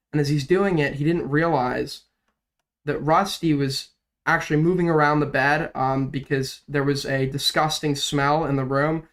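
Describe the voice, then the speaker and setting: A young man doing casual, rambling commentary on a livestream, sick and coughing, his voice cracking now and then, with lots of fillers and swearing. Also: mid-range voice. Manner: rambling, profane, filler-heavy. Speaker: drama commentary streamer.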